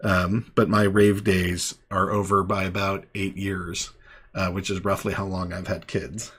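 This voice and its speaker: A man in his thirties hosting a live online call-in show, relaxed and chatty, with many fillers and restarts. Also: deep voice, a little slow, husky. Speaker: host. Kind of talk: casual live call-in chat.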